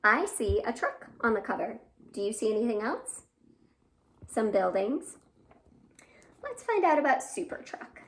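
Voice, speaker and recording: A woman's voice: fairly high pitch, very animated, measured pace, pauses often, poor audio. A woman hosting a children's storytime, reading expressively with asides and questions to young listeners. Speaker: library storytime host.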